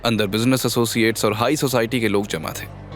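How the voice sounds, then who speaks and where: A man's voice: mid-range voice, steady tone, a little fast, faint music behind. A man narrating a scripted story in a dramatic, suspenseful storytelling manner.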